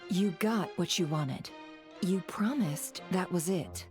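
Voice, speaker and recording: A woman voicing a stern, exasperated female boss in a video game, speaking in a dry, measured, sardonic way with menacing politeness. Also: fairly low pitch, expressive, darkish tone, soft background music. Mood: fed up, dry.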